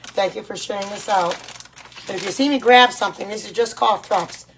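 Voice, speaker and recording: A middle-aged woman with a hoarse voice, chatting casually and repeating herself as she hosts a live cooking demonstration. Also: mid-range voice, brisk, a little hiss or hum. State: hoarse voice, clearing throat at times. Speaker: host of a live home cooking stream. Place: home kitchen.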